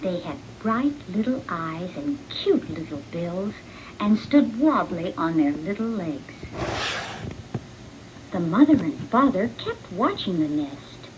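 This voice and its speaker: Female storyteller for children's read-along, very animated and unhurried, noisy recording. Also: mid-range voice. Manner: expressive, deliberate read-along delivery. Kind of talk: scripted children's storybook narration.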